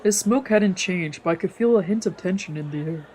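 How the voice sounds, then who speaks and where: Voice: mid-range voice, brisk, a little mellow, slight background noise. A streamer narrating a visual novel aloud in a scripted storytelling style. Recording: music behind.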